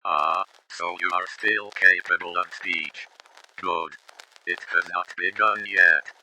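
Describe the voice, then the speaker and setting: Man voicing a slow, deep, ominous otherworldly being. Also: steady tone, pushed and bright, slight background noise, full character voice, poor audio. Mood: calm, ominous. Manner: slow, deliberate, ominous speech. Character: mysterious male time-traveling being, calm and menacing. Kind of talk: analog horror acting.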